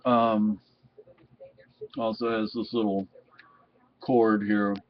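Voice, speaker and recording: A man giving a casual, unscripted product review in a halting, rambling way. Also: lowish voice, very animated, gravelly. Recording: dull, muffled lo-fi sound.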